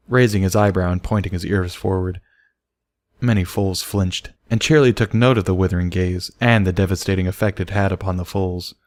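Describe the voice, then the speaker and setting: A man narrates a written story for an audiobook. His voice is fairly low. The recording is dry, with very little room sound. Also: darkish tone, dry recording.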